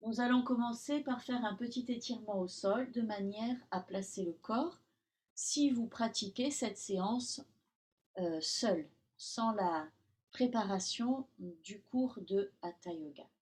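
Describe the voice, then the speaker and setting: A woman yoga teacher guiding a relaxation session in calm, instructional short phrases with long pauses. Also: on the high side. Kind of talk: guided Yoga Nidra relaxation.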